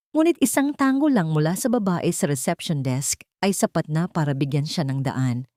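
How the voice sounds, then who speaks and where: A woman's voice: sits low, dark tone, no echo, clean studio sound. A woman with a Filipino accent reading a dramatic love story as scripted narration.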